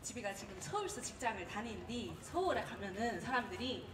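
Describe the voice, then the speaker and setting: A woman in her thirties from Gwangju with a Jeolla accent gives a humorous prepared speech, telling stories in a witty, animated way. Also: mid-range voice, a little fast. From Gwangju, South Korea. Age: 30s. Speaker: contest participant. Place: event hall. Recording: roomy hall, distant mic, faint noise.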